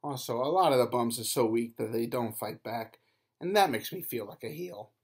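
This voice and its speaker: A man's voice: mid-range voice, moderate pace, breathy edge, close to an everyday voice. A man voicing a tough, fierce woman who works as a cinema cashier and bouncer, blustering and threatening. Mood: grumbling.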